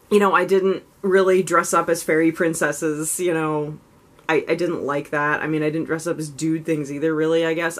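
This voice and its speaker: A woman vlogging casually and frankly about herself, rambling with lots of "like" and "you know", her voice low and lifted a little above its natural register. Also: fairly bright tone. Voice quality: low voice she raises slightly higher than natural. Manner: frank, self-reflective. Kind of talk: casual confessional vlog.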